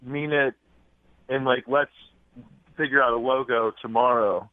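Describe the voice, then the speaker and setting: A man's voice: medium pitch, fairly even intonation, measured pace, no room sound. A man chatting casually and hesitantly as a radio show caller, peppering his talk with "like", over a phone line.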